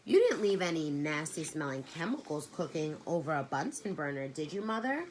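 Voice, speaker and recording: A woman reading aloud and voicing a clever, calm small boy who turns suspicious. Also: deep voice, moderate pace, slight background noise. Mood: wary.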